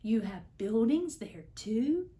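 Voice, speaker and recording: A woman's voice: fairly high pitch, very animated, slow, dark tone. A woman voicing a big, kind, protective male elephant in a storybook, speaking sincerely and emphatically. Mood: eager, reassuring.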